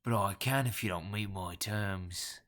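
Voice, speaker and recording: Sly, insistent Cockney smoker, performed by a male narrator, hoarse and nasal, slow-talking, for an audio horror tale. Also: lowish voice, a bit bright. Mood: curt, defiant. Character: gruff hard-drinking London man, sly and insistent.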